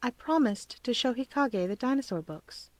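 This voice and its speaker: A woman's voice: on the high side, expressive, normal speed, no room sound, pitched above the performer's own voice, close to an everyday voice. A woman voicing an easygoing adult uncle with a casual, friendly manner in a story reading. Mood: easy, evasive. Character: easygoing adult uncle, a man, gently humoring. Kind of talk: audiobook character voice.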